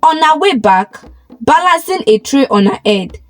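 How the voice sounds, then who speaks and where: A woman narrating a scripted folktale in a dramatic, expressive way. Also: high-pitched, a little slow, faint music behind. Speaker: storyteller, narrator.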